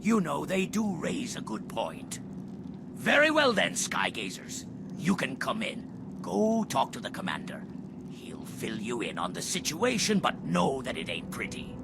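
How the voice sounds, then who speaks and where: A man voicing a gruff, folksy, sardonic male guard of a stone people, over loud music. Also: mid-range voice, huge pitch swings, unhurried, noisy background, full character voice.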